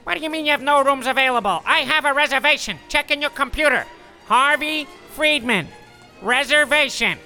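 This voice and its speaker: A man voicing a flustered American tourist from New York with a New York accent, whiny and quick to apologize. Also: very high-pitched, bright, forceful tone, faint music behind, exaggerated voice, rough recording. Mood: exasperated. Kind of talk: comedy audio sketch.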